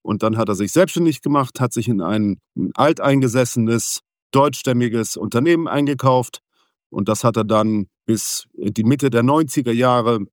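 Man raised in Venezuela, businessman guest, measured explaining, dry close sound. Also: mid-range voice, no room sound. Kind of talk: interview answers.

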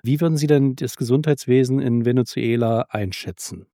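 A man's voice: mid-range voice, a little fast, warm tone, dry recording, clean studio sound. A man hosting an interview, reading a scripted introduction and asking polite, formal questions. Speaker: interviewer, host.

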